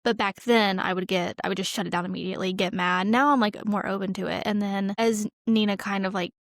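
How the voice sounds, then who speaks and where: A woman's voice: mid-range voice, steady tone, a little fast, dry recording, clean studio sound. A woman co-hosting a podcast, talking through films in a casual, conversational way peppered with "like". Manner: informal, conversational, often says like. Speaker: podcast co-host. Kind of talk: casual film discussion chat.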